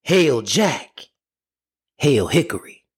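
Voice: medium pitch, sing-song, extremely slow, breathy edge, voice pushed up, heavily acted. A storyteller voicing a frightened, determined young boy with hollering, sing-song calls, over music. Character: frightened, determined young brother calling his hounds. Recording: music behind the voice.

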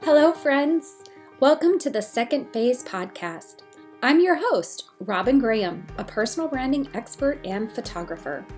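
A woman's voice: a little high, lively intonation, a little slow, a little mellow, faint music behind. A woman hosting a podcast, reading a guest introduction and then chatting eagerly with her guest. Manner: upbeat, eager. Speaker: podcast host. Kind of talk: scripted intro then casual interview.

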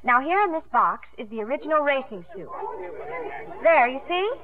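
A woman's voice: high-pitched, very animated, moderate pace, a faint crowd. An actress playing an earnest, ambitious young woman swimmer in a 1950s radio drama. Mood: earnest, polite. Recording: muffled old 1950s radio broadcast.

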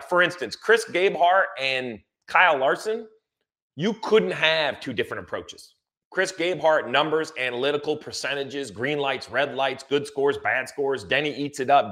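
A man's voice: mid-range voice, sharp tone. A man giving conversational motorsport analysis, emphatic and repeating phrases to drive points home.

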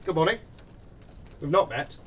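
An actor playing a pompous, humourless undertaker in a comic audio drama, curt and easily affronted. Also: male voice, fairly high pitch, lively intonation, normal speed, slight background noise, close to an everyday voice. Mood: haughty, indignant. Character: pompous, prickly, humourless male undertaker, proud and incompetent.

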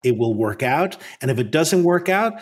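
A Northern Irish man co-hosting a podcast with a slightly husky, somewhat high voice. He talks in an earnest, reflective way and doubles back on words as he thinks aloud. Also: expressive, moderate pace. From Northern Ireland. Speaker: podcast co-host. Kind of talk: reflective conversational podcast talk.

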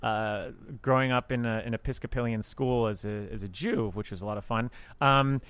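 A man co-hosting a podcast, chatting casually about film in a halting, rambling way. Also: mid-range voice, steady tone, fairly bright tone, clean tone. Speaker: podcast co-host.

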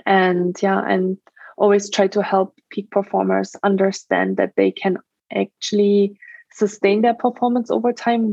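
A woman's voice: medium pitch, vocal fry, a little mellow, no room sound, muffled recording. A woman in her thirties from Germany with a German accent, a stress management trainer talking earnestly as a podcast guest, with many fillers. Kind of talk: podcast interview.

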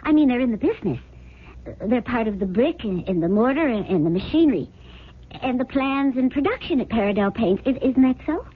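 An actress playing a naive, trusting, genteel wife with a hesitant, fretful manner in a 1970s radio drama. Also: female voice, fairly high pitch, animated, fairly quick, slightly rough. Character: naive, trusting, anxious well-bred wife. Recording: muffled phone-like old radio recording.

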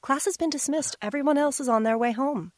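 A woman's voice: high voice, brisk, no room sound, studio quality, close to an everyday voice. A woman playing a stern but caring schoolteacher in an audio drama, admonishing and firm. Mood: stern, disappointed. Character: stern, caring female schoolteacher chiding a student.

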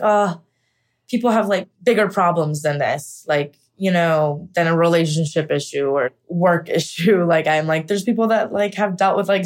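Young American female guest, candid personal storyteller, conversational, slightly low register. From Pennsylvania, United States. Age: young adult. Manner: conversational, frequent "like" and "you know". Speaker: podcast guest, actor.

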